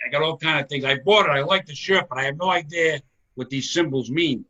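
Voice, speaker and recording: A man with a thick Rhode Island accent talks on a video call as the host of a comedy chat. His voice is bright and forceful. He projects loudly and laughs easily as he talks. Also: fairly high pitch, a little fast, highs cut off.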